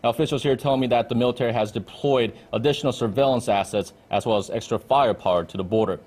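A man's voice: medium pitch, quick pace, darkish tone. A man in his thirties delivering a live news report as a correspondent from an indoor briefing room. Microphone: handheld-style reporter mic on desk.

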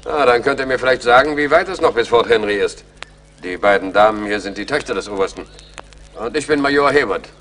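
An actor playing a courteous, earnest English army major in a radio play, speaking in a formal manner. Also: male voice, mid-range voice, a little fast, fairly bright tone, slight background noise, close to an everyday voice. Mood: formal, polite. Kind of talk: German radio play acting.

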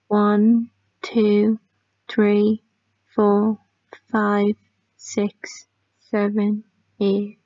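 A woman leading a guided sleep meditation in a soft, calming manner, often trailing off into a near-whisper. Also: medium pitch, dry recording. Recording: dry, slightly muffled lo-fi sound.

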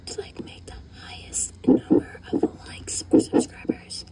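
A woman hosts ASMR in an unvoiced, breathy whisper. She takes her time, leaving words to hang, and coaxes the listener gently as she goes. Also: unhurried. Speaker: ASMR host.

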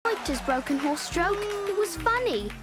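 High little cartoon owl boy. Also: lively intonation, normal speed. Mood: childlike amusement. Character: innocent young boy demon owl. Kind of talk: animated series acting. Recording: loud music under the voice.

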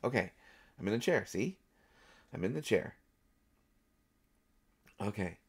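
A man's voice: fairly low pitch, lively intonation, unhurried, breathy edge, full character voice. A man performing an audio roleplay as an overexcited, anxious, over-caffeinated actor, stammering and repeating his words as he rambles. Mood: nervous, eager. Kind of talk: solo audio roleplay acting, one side of a conversation.

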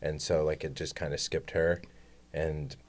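A young man with a very low voice, chatting loosely and rambling, with plenty of "like" fillers. He speaks into a studio boom mic in a small podcast room. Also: measured pace. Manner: relaxed, rambling, lots of "like". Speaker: podcast guest. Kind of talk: casual chat. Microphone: studio mic on boom arm, headphones.

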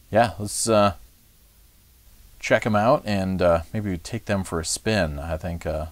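A man's voice: deep voice, no echo. A man hosting a casual, unscripted home video about his gear in a chatty, rambling, enthusiast's way. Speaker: vlog host. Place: furnished music room at home, indoors.

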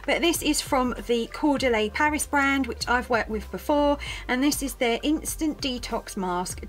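A woman in her thirties, croaky and a little out of breath, chatting to camera as she reviews beauty products at home. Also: on the high side, a bit bright. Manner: chatty, gushing, self-deprecating. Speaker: beauty vlogger. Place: small home room indoors.

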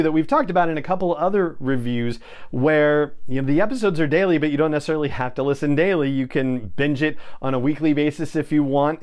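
A man's voice: mid-range voice, a little mellow, clear, resonant tone. A middle-aged man hosting a podcast, talking to his listeners in an upbeat, effusive way. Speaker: podcast host. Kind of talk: enthusiastic podcast monologue to camera. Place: small home studio room. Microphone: lapel mic.

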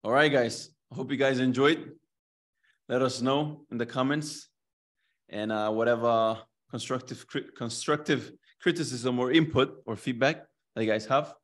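A man's voice: medium pitch, normal speed, a bit bright. A man hosting a video podcast interview, speaking in a friendly way and encouraging viewers, using Islamic phrases, into a studio microphone. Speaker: host. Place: bright indoor room. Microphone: studio microphone and headphones.

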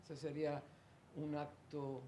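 A man's voice: fairly high pitch, little pitch movement, slow, warm tone, slight background noise. A man from interviewing a guest, hesitant with many fillers and tag questions. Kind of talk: conversational interview.

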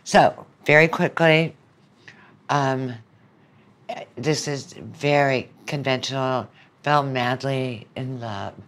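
A woman's voice: a bass voice, fairly even intonation, slow pace, pauses often. Older woman, seventies, interview guest, conversational storytelling, recalling the past. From southern United States. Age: 70s.

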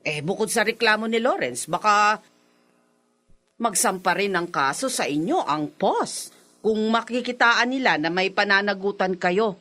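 A woman's voice: mid-range voice, animated, a little slow, sharp tone. An actress playing a courteous, firm female village captain in a Filipino radio drama. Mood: firm, explaining. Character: polite, firm village captain confronting a neighbour.

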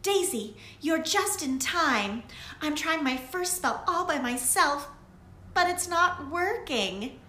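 A woman voicing a young girl witch, eager and excitable, in a storybook reading. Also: slow, slight background noise, exaggerated voice. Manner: high, excited, breathless pleading. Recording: echoey room with soft music.

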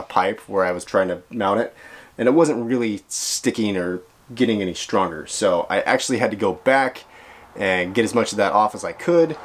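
Chatty man explaining a van build. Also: lowish voice. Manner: chatty, self-deprecating, laughs at setbacks. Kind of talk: casual DIY explainer vlog. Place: garage workshop and van interior. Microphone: handheld camera close to face.

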